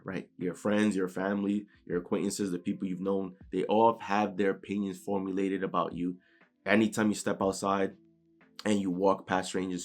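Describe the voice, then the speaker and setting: A young man with a New York accent giving an unscripted motivational talk from his bedroom, casual, often tagging "right?" and sometimes stuttering. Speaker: motivational YouTuber. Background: low background music.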